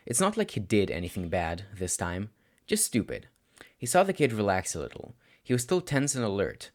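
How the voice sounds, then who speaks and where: A man reading fan fiction aloud as an amateur audiobook narrator, in an expressive scripted style. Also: fairly low pitch.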